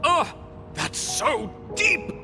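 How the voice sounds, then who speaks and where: A man's voice: very high voice, huge pitch swings, extremely slow, full character voice. An actor playing a relentlessly upbeat male TV street reporter in a video game, with a showy, gushing broadcast delivery. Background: background music.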